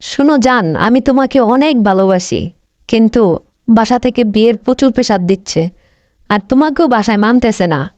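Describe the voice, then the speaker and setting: Fast, high-pitched scheming cartoon girlfriend, played by a woman. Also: a little mellow, dry recording, muffled recording, lightly acted. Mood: pleading. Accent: Bangladeshi. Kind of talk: comic cartoon dialogue.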